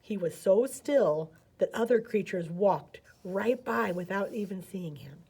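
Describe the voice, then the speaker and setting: A woman reading a picture book aloud as a children's storyteller, with animated, dramatic emphasis. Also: medium pitch, unhurried, husky.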